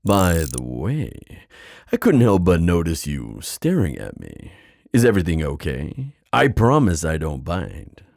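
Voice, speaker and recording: A man's voice: low voice, wildly swooping pitch, gravelly, darkish tone, no room sound, studio quality, exaggerated voice. A man playing a flirtatious, confident giant sea god in an ASMR roleplay, teasing and theatrical in his delivery. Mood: playful, teasing. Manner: teasing, theatrical, drawn-out phrasing.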